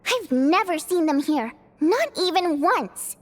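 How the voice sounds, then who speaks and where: A woman voicing a lively, spirited young stage performer in a video game, expressive and dramatic, quick to complain. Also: very high-pitched, a little hiss or hum, heavily acted. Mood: indignant, exasperated.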